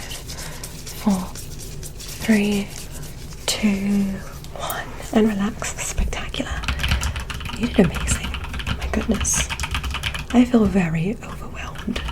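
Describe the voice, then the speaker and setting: A woman doing an ASMR roleplay as an examiner, whispering breathily with gentle, careful, encouraging instructions. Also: medium pitch, rough recording. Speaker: ASMR performer role-playing an alien medical examiner.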